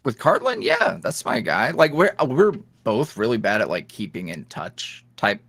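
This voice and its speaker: A man's voice: lowish voice, unhurried, slightly rough, no room sound. A man who makes ASMR roleplays, chatting loosely as a podcast guest, often trailing off and laughing. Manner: loose and animated, trailing off and restarting, laughing between thoughts. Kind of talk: casual interview chat.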